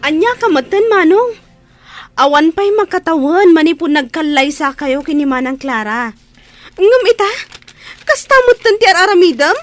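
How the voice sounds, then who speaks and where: Very high-pitched scolding younger sister. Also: female voice, animated, normal speed, soft background music. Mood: indignant. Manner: scolding, insistent. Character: scolding younger sister, indignant, protective, outspoken. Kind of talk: Ilocano radio drama acting.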